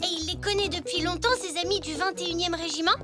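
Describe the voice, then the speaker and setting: Very high-voiced, eager little boy zebra. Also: steady tone, fast talker, loud music behind the voice. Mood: curious. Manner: bright-eyed, eager, polite. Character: curious, eager five-year-old boy zebra. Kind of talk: French children's cartoon acting.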